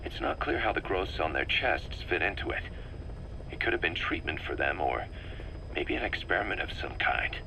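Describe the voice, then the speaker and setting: A game's military second-in-command with a low, bright-edged voice, grave and analytical. He lays out his report step by step, measured and methodical, over faint background noise. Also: big pitch swings, gravelly, heavily acted, rough recording. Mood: thoughtful. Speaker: military intelligence officer briefing his commander. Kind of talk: scripted game dialogue, briefing.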